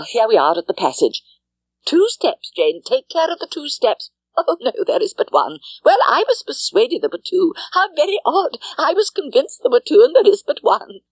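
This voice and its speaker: Chattering middle-aged spinster, high-pitched, very animated, breathless, fussy, good-natured, rambling, audiobook character. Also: female voice, no room sound, rough recording. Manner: breathless, rambling, exclamatory, repetitive.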